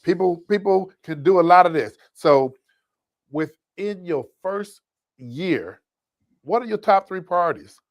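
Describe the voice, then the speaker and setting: A man's voice: high-pitched, lively intonation, unhurried, no echo. A man hosting a talk show, asking his guest direct questions in an upbeat way. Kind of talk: interviewing a guest.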